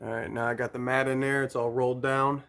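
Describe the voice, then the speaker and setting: A man's voice: mid-range voice, flat delivery, quick pace, fairly bright tone, faint music behind. A man hosting a DIY video, talking casually and plainly through each step as he works. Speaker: host of DIY car audio videos. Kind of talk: casual hands-on tutorial narration.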